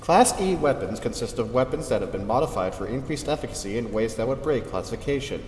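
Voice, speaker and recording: A man playing a dry, deadpan sci-fi official, formally reading out weapons regulations in a scripted announcement for an audio drama. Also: mid-range voice, fast talker, lightly acted. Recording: echoey reverberant hall, faint noise.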